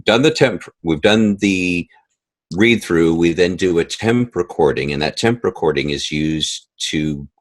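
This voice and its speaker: A man's voice: deep voice, measured pace, no room sound. A man speaking conversationally in an interview, explaining his work as a composer and sound editor and acting out little asides as he goes.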